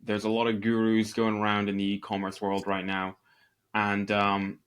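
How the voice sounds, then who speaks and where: Scottish man, low voice, candid. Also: completely flat delivery, moderate pace, fairly bright tone, smooth. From Northeast Scotland. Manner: candid, self-deprecating, storytelling. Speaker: podcast guest, e-commerce entrepreneur. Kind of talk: conversational interview answers.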